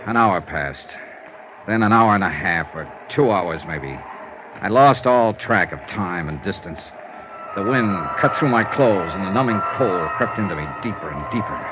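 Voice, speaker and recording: An actor playing a hard-boiled investigator who tells his own ordeal in a deliberate, intense 1950s radio drama style. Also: sits low, animated, noisy background. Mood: grim, dramatic. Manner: deliberate, intense, theatrical storytelling. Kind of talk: 1950s radio drama acting, dramatic first-person narration. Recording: dull vintage radio recording with prominent music.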